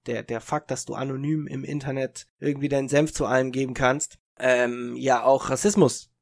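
A man's voice: mid-range voice, level tone, normal speed. Chatty young man with lots of fillers. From Germany. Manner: rambling, many restarts and fillers, laughs often. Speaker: podcast co-host. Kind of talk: casual chat.

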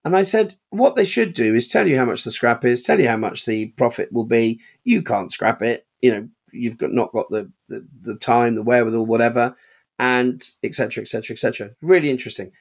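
A man chatting on a casual podcast, telling stories from his auction house with lively, animated energy. He acts out the people in his stories, his voice jumping up when he mimics them, and he laughs often. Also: mid-range voice, a little fast, vocal fry. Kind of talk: casual chat.

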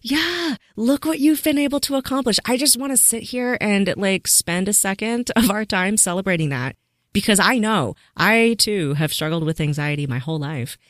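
A woman's voice: medium pitch, moderate pace, dry recording, clean studio sound. A woman hosting a casual podcast interview, encouraging and enthusiastic as she asks about her guest's experiences. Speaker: podcast host.

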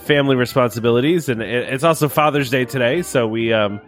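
A man's voice: medium pitch, quick pace, soft background music. A man in his thirties with a nasal voice and a cold, hosting a casual podcast chat and rambling with lots of fillers and restarts. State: has a cold. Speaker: podcast host.

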